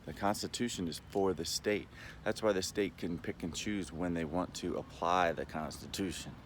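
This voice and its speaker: A man giving a rambling, casual monologue as a vlogger, explaining in a halting way and checking in as he goes. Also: sits low, steady tone, slight background noise.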